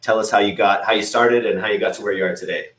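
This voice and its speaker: A man's voice: lowish voice, quick pace, fairly bright tone. A man in his thirties with an Ontario Canadian accent, hosting an interview in a chatty, upbeat way with stumbling restarts. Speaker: podcast host. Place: small room, indoors. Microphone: studio mic on video call. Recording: echoey room.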